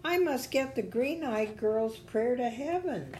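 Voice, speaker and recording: A woman's voice: a little high, expressive, measured pace, dark tone, a little hiss or hum, voice pushed up. A woman voicing an earnest, brave little bubble in a children's story, emphatic and pleading. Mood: determined, pleading.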